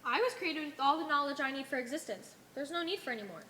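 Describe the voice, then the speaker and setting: Naive, obedient otherworldly follower played by a woman; very high, animated, formally puzzled, in a reverberant stage play. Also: fast talker. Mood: puzzled, earnest. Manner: formal, uncontracted, puzzled phrasing. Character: naive, obedient member of a blue cult people, easily confused. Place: theatre stage. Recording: echoey stage hall recording.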